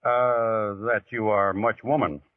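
An actor playing a laconic, steady American volunteer with an understated, hesitant delivery in old radio drama style. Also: male voice, on the low side, slow, a little hiss or hum. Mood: casual, reticent. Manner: understated, hesitant, plain-spoken. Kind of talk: 1940s radio acting. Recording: muffled, narrowband vintage radio recording.

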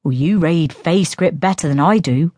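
A woman reading the lines of an earnest young brother, speaking quietly in her own low voice. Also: moderate pace, no echo.

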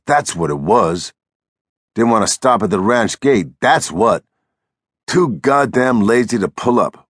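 Man playing a gruff, irritable little drifter. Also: low voice, dry recording, clean studio sound, heavily acted. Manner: gruff, scolding, folksy rural American speech. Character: small, sharp, irritable American drifter, weary and bossy.

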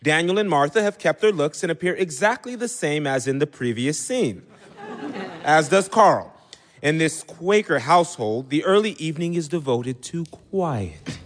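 An actor playing a knowing, wry chorus who narrates an audio drama straight to the audience. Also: male voice, a little high, animated, normal speed, natural voice.

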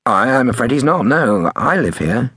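A man's voice: medium pitch, very animated, moderate pace, pushed and bright, breathy edge, dry recording, pitched above the performer's own voice, exaggerated voice. A man voicing an unsuspecting, hesitant and patient man who politely answers prank calls in a storybook. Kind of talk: acted storybook dialogue.

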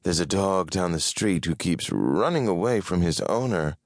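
A man's voice: low-pitched, expressive, moderate pace, dry recording. A feline female creature from a fantasy storybook, voiced by a man. She is blunt and selfish, speaking with bored disdain. The sound is dry and close. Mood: sly.

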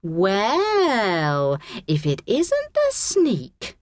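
A woman narrator puts on a bossy schoolgirl of about eleven for a children's story. She is rude and sneering, with big swoops in pitch and a close, dry sound. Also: big pitch swings, normal speed, dry recording. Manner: sneering, hissing, fake-sweet to adults.

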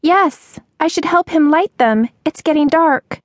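A woman's voice: high voice, measured pace, dry recording, clean studio sound, voice pushed up. A woman voicing a timid, anxious young waitress in a storybook, speaking hesitantly and pleadingly. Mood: agitated, urgent. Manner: hesitant, breathless stammering, pleading.

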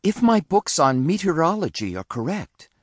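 A young boy rabbit from a storybook, played by a man, pitched up high. He is bookish, polite and earnest, with a pleading, studious way of speaking. The delivery moves up and down in a lively way. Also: moderate pace, dry recording, studio quality.

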